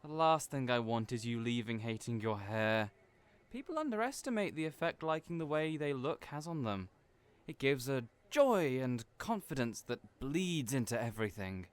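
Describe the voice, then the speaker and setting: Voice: mid-range voice, lively intonation, normal speed, clean tone, lightly acted. An actor in an audio roleplay playing a cheerful, chatty hairdresser who is theatrical, effusive and playfully teasing. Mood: gushing.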